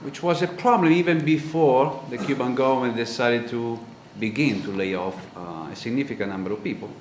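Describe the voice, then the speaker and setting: A man with a clear Italian accent speaks from a stage to an audience, his delivery halting and full of fillers. His voice sits at a middle pitch and carries the ring of a roomy auditorium. A faint hiss of noise runs underneath. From Italy. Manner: halting, many ums and uhs. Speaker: panel speaker. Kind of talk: conference presentation. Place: lecture auditorium.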